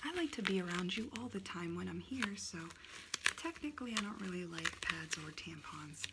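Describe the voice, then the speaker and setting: A woman in an ASMR roleplay voicing a cheeky personified monthly period as a female aunt, whispering softly and teasing, close to the mic. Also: on the low side, animated, moderate pace. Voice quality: soft whispering. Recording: noisy recording.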